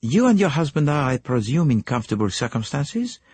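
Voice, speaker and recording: Narrator playing a polite sleuth, formal and probing, husky, lively delivery. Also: male voice, mid-range voice, a little fast, dry recording, voice pushed up. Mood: businesslike. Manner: formal, businesslike, probing questions. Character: courteous, precise foreign male detective, middle-aged.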